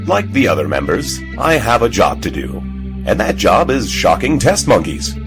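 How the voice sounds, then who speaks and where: A man's voice: lowish voice, big pitch swings, normal speed. A man, a radio host, delivering a tongue-in-cheek scripted promo in a mock-sincere way. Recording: music behind. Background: music.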